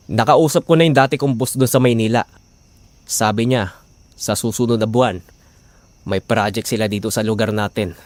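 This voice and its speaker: A man's voice: medium pitch, dry recording, close to an everyday voice. A man acting as a struggling, steadfast husband and father, earnest and imploring, in an audio drama. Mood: concerned, reasoning. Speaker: voice actor.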